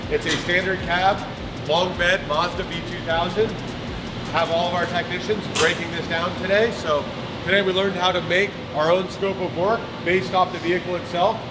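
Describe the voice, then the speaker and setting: A man walking through a build in a workshop, explaining each step in an upbeat way. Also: a little high. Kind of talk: on-site build walkthrough. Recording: echoey workshop.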